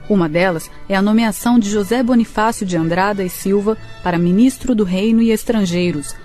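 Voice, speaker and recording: A woman's voice: mid-range voice, fairly quick, darkish tone. A woman with a Brazilian accent reading scripted historical narration for a radio drama. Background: music.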